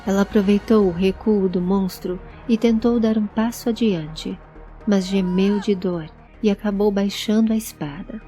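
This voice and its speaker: A woman's voice: mid-range voice, fairly even intonation, normal speed, mellow. A woman narrating a scripted fantasy audio story. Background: background music.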